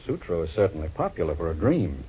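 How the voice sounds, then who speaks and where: An actor playing a droll, long-suffering waterfront priest in a 1940s radio drama, with a theatrical, complaining manner. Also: male voice, deep voice, sing-song, fast, a little hiss or hum. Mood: wryly droll. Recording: muffled old radio recording.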